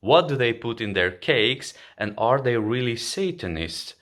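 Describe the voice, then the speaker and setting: A man's voice: medium pitch, animated, a little slow, fairly bright tone. A man reading a scripted, upbeat podcast introduction. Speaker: show host, intro narrator.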